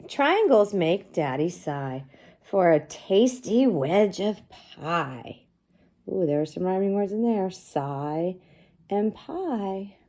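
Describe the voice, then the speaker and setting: A woman with a low, soft-edged, mellow voice reads a children's book aloud at a slow pace. She teaches as she goes, explaining in simple words and putting questions to her young listeners.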